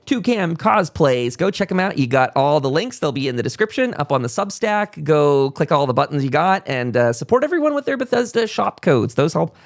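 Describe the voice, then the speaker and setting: A man's voice: a little high, big pitch swings, fairly quick, vocal fry, hardly any pauses. A man hosting a podcast in a casual interview style, rambling along with folksy enthusiasm. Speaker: podcast host.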